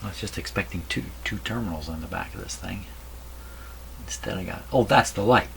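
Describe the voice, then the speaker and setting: Man, low creaky voice, casual repair commentary, muttering to himself, noisy humming recording. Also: brisk. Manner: muttering to himself while working. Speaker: radio repair hobbyist presenting. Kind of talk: casual workbench commentary while testing a radio. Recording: noisy workbench recording with electrical hum.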